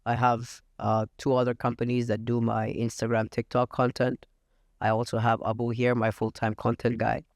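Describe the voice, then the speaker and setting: A gravelly-voiced man from India speaks as a podcast guest, confident and matter-of-fact, pausing often on "uh." The sound is dry and close, with no room echo. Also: medium pitch, completely flat delivery. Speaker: real estate agent, podcast guest. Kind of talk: casual interview talk. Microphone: podcast studio mic.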